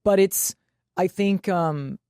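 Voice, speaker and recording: A woman's voice: fairly low pitch, takes it slowly, mellow, clean tone, dry recording, studio quality. A woman co-hosting a sports podcast, chatting casually in a blunt, emphatic way with frequent swearing.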